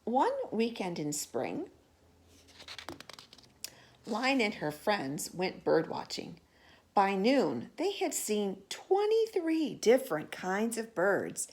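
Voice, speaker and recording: Slow, animated woman reading to children. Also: mid-range voice, a little hiss or hum. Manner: expressive, asks listeners questions about pictures. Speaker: storyteller. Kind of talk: children's picture-book read-aloud.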